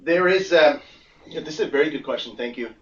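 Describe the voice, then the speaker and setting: A man giving explanatory commentary as a guest analyst on a conference call, speaking in a measured, halting way and repeating phrases for emphasis. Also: fairly high pitch, slight background noise. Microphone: conference call line. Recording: muffled, echoey, far from the mic.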